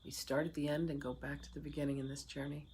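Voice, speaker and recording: A meditation guide speaking in a soothing, unhurried way with long pauses, leading a guided meditation. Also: medium pitch, flat delivery, darkish tone, a little hiss or hum.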